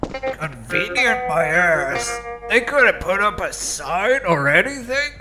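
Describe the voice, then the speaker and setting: A man playing a grumpy, blustering armed robber in an audio drama, gravelly and irritable. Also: high-pitched, very animated, takes it slowly, over music, exaggerated voice. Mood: irritated muttering. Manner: muttering, irritable.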